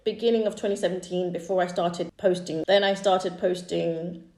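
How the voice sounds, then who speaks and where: A woman in her thirties from East Africa whose first language is Swahili, chatting casually as a YouTuber walking through her own channel history with fillers and quick asides. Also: lowish voice, steady tone, a little mellow. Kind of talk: casual screen-share walkthrough. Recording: echoey room.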